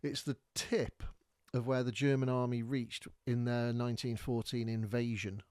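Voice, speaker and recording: A man's voice: mid-range voice, flat delivery, normal speed, darkish tone, no echo. Man, podcast host; easygoing, chatty storyteller with a mid-range voice; dry sound. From North Wales. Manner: chatty, rambling, with many fillers and restarts. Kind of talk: casual storytelling while showing maps.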